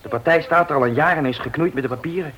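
A man's voice: on the high side, quick pace, fairly bright tone, natural voice. An actor playing a deferential, hesitant young errand boy in a radio play. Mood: uneasy. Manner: hesitant, respectful. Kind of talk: Dutch radio play acting.

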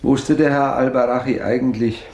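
A man's voice: mid-range voice, fairly even intonation, moderate pace, darkish tone, lo-fi sound, lightly acted. A man acting in a radio play as a reserved, world-weary baron, courteous and formal in his speech. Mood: sceptical, questioning. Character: reserved, melancholy aristocratic baron, cool and courteous. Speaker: radio drama actor.

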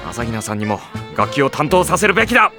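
A man playing a sardonic, long-suffering high-school boy who narrates and argues dryly in an anime audio drama. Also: fast talker, close to an everyday voice. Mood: exasperated objection. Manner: dry, wry, deadpan delivery. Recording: music behind the voice.

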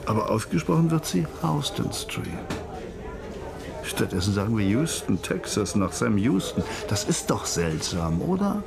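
A man's voice: fairly low pitch, very animated, measured pace, gravelly, crowd noise, exaggerated voice. An actor playing a cagey, streetwise police informant in a radio crime drama, drawing out his story in a teasing way. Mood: sly, musing. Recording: music playing behind the voice.

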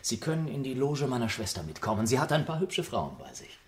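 An actor playing an urbane, cynical, unruffled gentleman in a literary radio drama, with a polished, ironic manner. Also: medium pitch, very animated, fairly quick, close to an everyday voice. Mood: breezy, detached.

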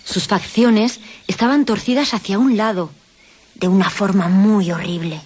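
Solemn, mystical governess of medium pitch. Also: female voice, fairly even intonation, unhurried, darkish tone, breathy edge. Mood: eerie storytelling. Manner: solemn, oracular, theatrical. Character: mystical German governess, solemn teller of eerie lore. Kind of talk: gothic radio drama acting. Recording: faint night insects behind.

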